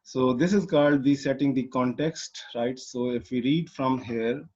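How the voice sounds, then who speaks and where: A man from Pakistan teaches English online, talking through each step in a mid-range voice. He fills pauses with "uh" and often restarts sentences as he explains. The call audio sounds a little dull and muffled. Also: medium pitch, a little mellow. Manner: explanatory, with frequent fillers and restarts. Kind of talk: online lecture.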